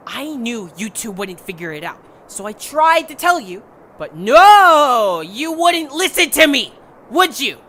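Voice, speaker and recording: A woman's voice: high voice, very animated, unhurried, fairly bright tone, full character voice. A woman voicing a bossy, irritable male creature who leads a group in an audio drama, scolding and blunt. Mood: scornful, lecturing. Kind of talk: amateur audio drama acting.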